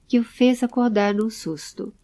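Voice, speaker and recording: A woman's voice: a little high, unhurried, warm tone. A woman narrating a scripted audio drama. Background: background music.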